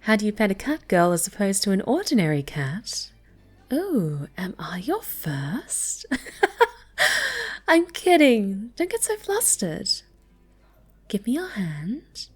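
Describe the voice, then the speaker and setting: Casting: woman to play snobby, bratty cat girl for intimate ASMR roleplay; unhurried, soft and breathy, coy, stammers when flustered. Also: mid-range voice, wildly swooping pitch, soft background music, exaggerated voice. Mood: teasing. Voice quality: soft, often breathy and whispered. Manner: halting, stammering, coy, haughty.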